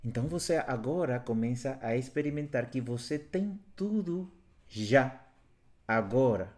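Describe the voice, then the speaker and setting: A man's voice: medium pitch, animated, unhurried, darkish tone. A man facilitator on a live stream, speaking earnestly about love and self-awareness and repeating phrases for emphasis. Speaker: workshop facilitator. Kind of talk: live stream talk on relationships. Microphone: live stream from home.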